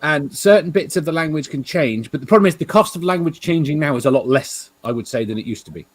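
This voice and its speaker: A man with an English accent in casual, rambling discussion, halting and repeating himself, swearing freely. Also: a little high, animated. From England. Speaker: talk show participant.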